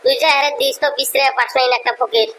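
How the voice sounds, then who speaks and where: A man voicing a cheeky, scheming village friend in a comic cartoon, bantering in a rural Bengali dialect through a pitch-raised voice. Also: steady tone, fast, bright, forceful tone, a little hiss or hum. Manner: brazen, persuasive, bantering. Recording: music behind the voice.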